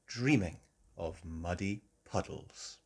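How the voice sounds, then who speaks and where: A woman narrating a children's storybook aloud in an expressive, measured way. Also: a bass voice, gravelly, breathy edge.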